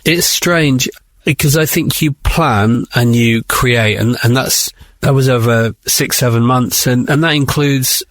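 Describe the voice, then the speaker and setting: A man's voice: mid-range voice, unhurried, fairly bright tone, no room sound. A man, a writer being interviewed, talking in a thoughtful, enthusiastic, conversational way.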